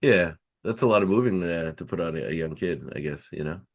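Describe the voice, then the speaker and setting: A man's voice: low voice, expressive, a little fast. A man with a Canadian accent, hosting a podcast and chatting casually as he interviews a guest.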